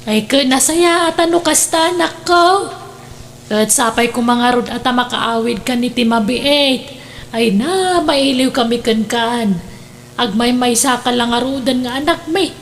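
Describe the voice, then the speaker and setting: Brief: elderly Ilocano mother, very high voice, patient and affectionate, audio drama delivery. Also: a little slow, slight background noise. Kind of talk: radio drama acting.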